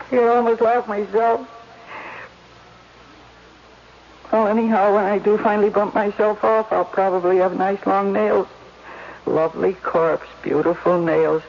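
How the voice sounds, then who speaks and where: A woman playing a despairing, self-mocking actress who talks to herself in a 1970s radio drama. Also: low-pitched. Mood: bitterly amused, tearful. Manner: soliloquizing, emotional, self-deprecating. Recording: muffled 1970s radio recording, faint noise.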